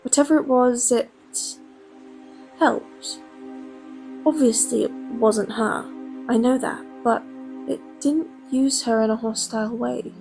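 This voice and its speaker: An actress playing a grieving young woman, who speaks in a subdued, halting way in an audio drama. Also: fairly high pitch, steady tone, a little mellow, faint music behind.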